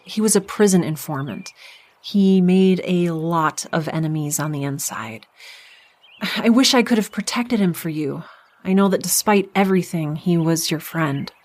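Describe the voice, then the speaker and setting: Woman acting a composed, lowish-voiced intelligence director. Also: normal speed, darkish tone, lightly acted. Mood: earnest, loving. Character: composed, evasive female intelligence director, caring wife. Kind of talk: audio drama acting.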